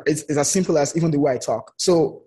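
A man's voice: a little high, quick pace, husky. A man speaking as an interview guest, telling personal stories conversationally and acting out the remarks he quotes. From outside the United States. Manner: animated retelling with quoted remarks.

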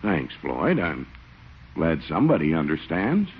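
Blustery police chief, wildly swooping voice. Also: male voice, extremely low pitch, wildly swooping pitch, measured pace, husky, full character voice. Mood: relieved, proud. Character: sentimental, easily swayed, dutiful small-town police chief. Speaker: actor in a radio comedy. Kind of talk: 1940s radio sitcom acting. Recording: muffled, noisy old radio recording.

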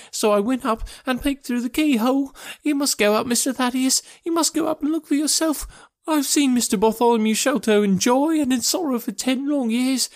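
A man's voice: very high-pitched, pitched above the performer's own voice. A man voicing a devoted, fretful old woman housekeeper in an audiobook, effusive, tremulous and deferential. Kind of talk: acted audiobook character dialogue.

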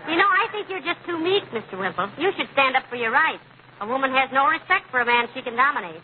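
An actress playing a sly-witted middle-aged housewife, genial and dryly teasing, in a radio comedy. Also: female voice, high-pitched, expressive, fast, narrow, tinny audio. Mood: sympathetic. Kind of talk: 1940s radio comedy acting. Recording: thin, noisy old radio broadcast.

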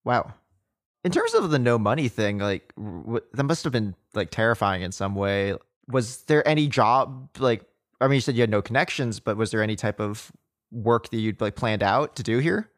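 A man's voice: medium pitch, expressive, moderate pace, no echo, studio quality. A man hosting a podcast, reading a scripted intro and then asking hesitant questions full of "like". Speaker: podcast host.

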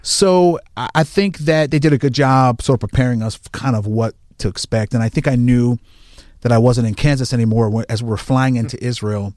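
A man's voice: medium pitch, fairly quick, dry recording, clean studio sound. A man, a firefighter, telling stories in casual conversation and acting out what people said. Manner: animated, acts out quoted lines. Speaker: firefighter guest.

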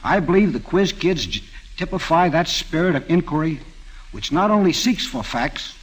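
Casting: American male guest speaker, 1940s radio, raspy and a touch high, stately measured delivery. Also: animated, poor audio. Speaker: guest observer on a quiz program. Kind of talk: formal prepared remarks on 1940s radio.

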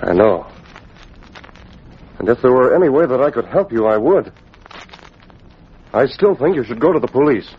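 Principled, cautious gentleman, formal and animated, vintage radio serial, dull recording. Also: medium pitch, moderate pace, pauses often, husky. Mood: serious, cautious. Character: earnest, principled gentleman of means, cautious and law-abiding. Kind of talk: 1940s radio acting.

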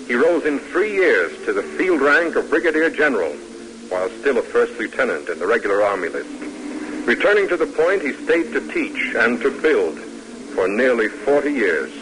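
A man narrating a 1950s radio drama from a script in a measured, formal, dramatic manner. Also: mid-range voice, background noise. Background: music.

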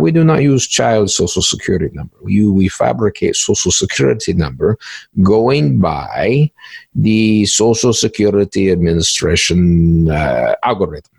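A man's voice: lowish voice, sing-song, unhurried, vocal fry, slightly rough, no echo, exaggerated voice. A man playing a cagey, smug cybercriminal in a mock interview, stammering and repeating words with a pompous air. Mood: guarded, explanatory. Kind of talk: one-act play interview.

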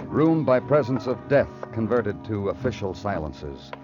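An actor playing a brooding, poetic hard-boiled police detective in a measured, solemn radio crime drama style. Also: male voice, on the low side, big pitch swings. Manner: measured, solemn, literary delivery. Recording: dull old radio recording with music.

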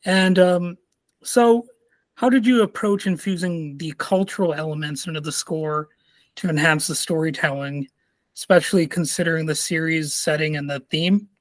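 Man, podcast host, higher voice, asks questions with ums, dry sound. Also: steady tone, normal speed, no echo. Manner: reads prepared questions with filler ums. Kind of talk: interview questions.

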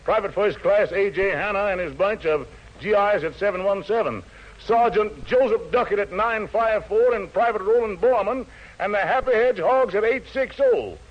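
A man's voice: high-pitched, normal speed, lo-fi sound. An American man hosting a 1940s radio show for troops in showy, playful jive patter, on a vintage recording. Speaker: radio show host, master of ceremonies.

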